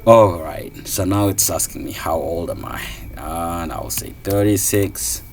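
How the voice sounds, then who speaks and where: Man, thirties, from somalia, unhurried, relaxed beginner coding lesson, lots of uh and restarts. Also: sits low, vocal fry, a little hiss or hum. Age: 30s. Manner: casual, thinking aloud, many fillers and restarts. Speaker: programming tutor. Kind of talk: screen-recorded coding tutorial.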